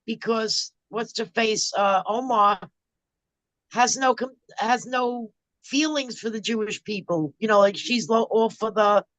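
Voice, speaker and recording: A woman's voice: medium pitch, a bit bright, dry recording, poor audio. Woman co-host chatting plainly on a livestream, dry close sound. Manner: plain, chatty, reads viewer comments aloud. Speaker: co-host of a political chat livestream. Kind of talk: casual live-stream chat.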